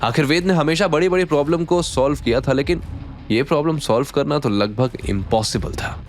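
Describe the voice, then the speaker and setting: A man's voice: a little high, a little fast, slight background noise. A man narrating a dramatic scripted audio series as its storyteller.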